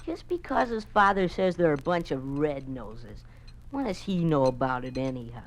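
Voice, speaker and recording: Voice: mid-range voice, sing-song, normal speed, close to an everyday voice. An American performer playing an eager, naive schoolboy with earnest boyish manners in a 1950s radio drama. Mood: casual. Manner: boyish, gee-golly earnestness. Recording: hissy 1950s radio recording.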